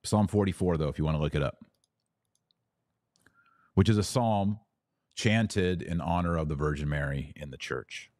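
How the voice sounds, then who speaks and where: A man's voice: sits low, moderate pace, pauses often, darkish tone, dry recording. A man speaking as a podcast host, teaching about religion conversationally and answering questions in a didactic, emphatic way.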